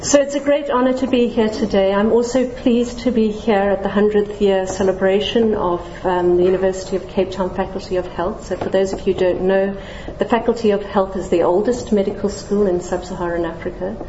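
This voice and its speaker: A woman's voice: medium pitch, fairly even intonation, fairly quick, background noise. A middle-aged woman from Zambia giving a lecture to a general audience in a lecture hall, friendly, with light self-deprecating humor and plenty of ums. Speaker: scientist giving a public lecture. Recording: dull, echoey lecture hall recording.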